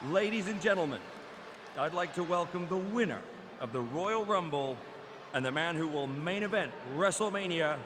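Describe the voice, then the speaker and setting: A man's voice: on the high side, expressive, moderate pace, close to an everyday voice. A man playing a formal, authoritative general manager addressing a wrestling show in a video game, with crowd noise.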